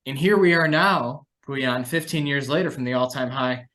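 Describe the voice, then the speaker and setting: A man with a mid-pitched voice hosts a financial podcast. He talks through market commentary conversationally, in a measured way, reasoning out loud as he goes. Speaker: host of a market analysis podcast.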